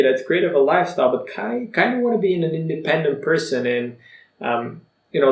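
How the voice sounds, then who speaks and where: Bulgarian man, twenties, Bulgarian native, upbeat vlogger telling his story, mid pitch, roomy echo. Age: 20s. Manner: energetic, motivational, conversational. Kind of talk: personal story to camera.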